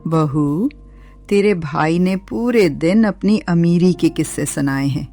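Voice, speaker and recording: Woman acting a slow-talking, bossy older mother-in-law. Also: on the low side, warm tone, soft background music. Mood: demanding. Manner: scolding, demanding, sarcastic. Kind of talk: Hindi cartoon moral story acting.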